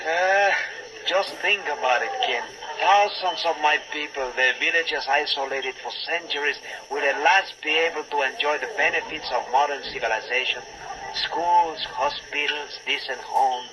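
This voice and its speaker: An actor playing an earnest Venezuelan idealist, speaking emphatically in a 1940s radio drama. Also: male voice, on the high side, normal speed, a crowd in the background, close to an everyday voice. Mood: hopeful. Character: earnest, idealistic Venezuelan man with big dreams. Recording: noisy old radio broadcast recording.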